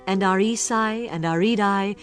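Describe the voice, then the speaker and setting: Female scripture narrator: formal, measured storytelling, mid-range pitch, gentle guitar bed underneath.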